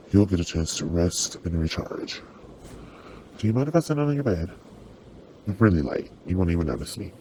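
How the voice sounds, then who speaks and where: A performer with a voice changer, playing a gentle, apologetic sleep paralysis demon who soothes and reassures in an ASMR roleplay. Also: sits low, slight background noise, low-quality recording.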